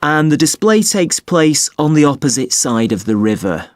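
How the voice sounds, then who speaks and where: A man playing a helpful, courteous festival box office clerk in a scripted listening-test dialogue, speaking clearly and informatively. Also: fairly high pitch, sing-song, normal speed, dry recording, studio quality. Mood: helpful, polite.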